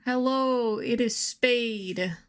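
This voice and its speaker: A woman's voice: a little high, extremely slow, clean tone. Earnest woman leaving a fan voice message. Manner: earnest, enthusiastic. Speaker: podcast listener sending voice message. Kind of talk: recorded fan commentary.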